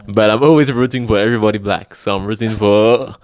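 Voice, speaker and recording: A man's voice: fairly low pitch, steady tone, fast, darkish tone. A man with a Cameroonian accent, hosting a casual video talk and putting questions to his guest. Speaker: host, interviewer.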